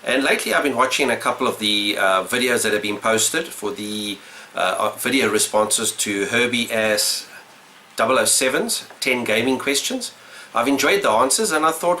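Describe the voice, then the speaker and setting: A middle-aged South African man with a South African accent, casually answering gaming questions in a relaxed, rambling way with lots of uhs and ums. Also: medium pitch, a little hiss or hum. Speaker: gaming YouTuber.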